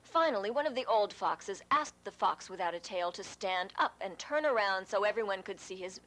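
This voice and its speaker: A woman's voice: mid-range voice, lively intonation, normal speed, fairly bright tone, low-quality recording. A woman telling a fable for children as a storyteller.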